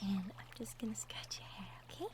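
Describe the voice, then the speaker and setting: A woman playing a gentle, caring young girlfriend from the woods in a soft, intimate romantic ASMR roleplay, with tender murmurs. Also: medium pitch, fast talker, close to an everyday voice. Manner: soft, tender, breathy murmurs with light giggles. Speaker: audio roleplay performer. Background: birdsong and outdoor nature ambience effects.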